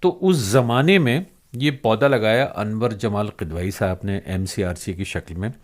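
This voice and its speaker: A man's voice: on the low side, unhurried. A man hosting an interview in a courteous, appreciative, conversational way. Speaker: interview host. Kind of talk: conversational Urdu-style interview.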